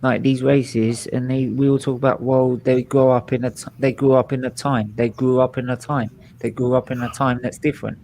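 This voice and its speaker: A man in his twenties hosting a live football watchalong, talking bluntly and excitably and swearing freely. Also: medium pitch, normal speed, gravelly, hardly any pauses, slight background noise. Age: 20s. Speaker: watchalong host. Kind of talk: live stream chat and football reactions.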